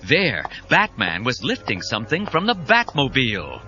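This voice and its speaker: A man's voice: medium pitch, wildly swooping pitch, normal speed, bright, forceful tone. A man narrating a scripted adventure story in a dramatic storytelling style. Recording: dull cassette sound, music behind.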